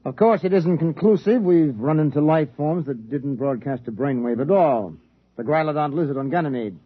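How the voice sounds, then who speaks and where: An actor playing a cautious, wry military biologist in a 1950s radio drama, speaking in a lecturing way. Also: male voice, a little high, lively intonation, moderate pace. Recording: dull vintage 1950s radio recording.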